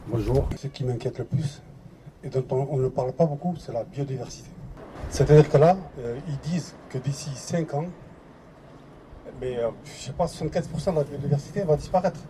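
A man's voice: medium pitch, brisk, warm tone, a little hiss or hum, poor audio. A French-born man speaking up in a public discussion, hesitant, full of restarts and fillers. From France. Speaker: participant in a public debate.

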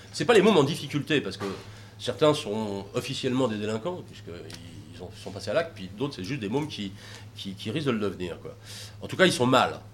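A man's voice: mid-range voice, a little hiss or hum. A man talking informally about taking troubled youths sailing, rambling with many hesitations and fillers. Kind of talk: informal talk with audience questions.

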